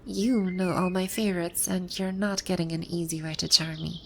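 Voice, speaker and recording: A woman playing a proud, teasing video game villainess come to life, speaking softly in an intimate ASMR roleplay. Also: on the low side, steady tone, normal speed, a little hiss or hum. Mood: flustered, playful. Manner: smug, teasing, soft-spoken with breathy asides. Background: birdsong and outdoor ambience sound effects.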